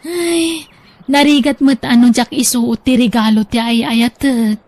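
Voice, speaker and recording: Actress doing sweet gentle filipina girlfriend in a radio drama, highish voice, dry close sound. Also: steady tone, measured pace, dry recording. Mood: happy. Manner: sweet, sentimental. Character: sweet young woman in love, gentle and sincere.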